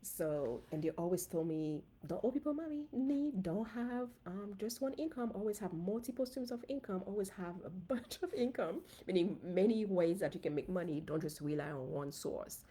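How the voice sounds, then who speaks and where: A woman from Haiti with a Haitian accent, a dentist giving an animated, casual livestream talk to her viewers on a phone. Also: mid-range voice, moderate pace, few pauses, breathy edge. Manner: animated, talks straight to viewers. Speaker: dentist and finance coach.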